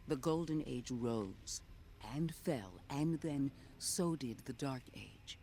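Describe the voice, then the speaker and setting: An actor voicing a calm, measured man who guides newly woken people, speaking slowly and reassuringly in a video game cutscene. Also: medium pitch, gravelly, a little hiss or hum. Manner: measured and reassuring, slow deliberate phrasing.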